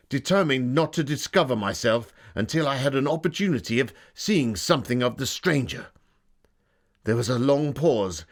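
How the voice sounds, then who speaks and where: An earnest Victorian gentleman, played by a male actor, recounts his adventure in a mid-pitched voice. The delivery is solemn, literary and dramatic, like a classic audiobook. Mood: tense, hushed. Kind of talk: dramatic audiobook-like diary reading.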